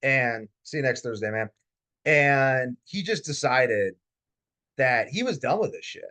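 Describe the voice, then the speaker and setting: A man's voice: mid-range voice, unhurried. A man hosting a casual webinar, talking in a rambling way with lots of fillers. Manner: rambling, filler-heavy, animated. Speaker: webinar host, insomnia coach. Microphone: computer mic on video call.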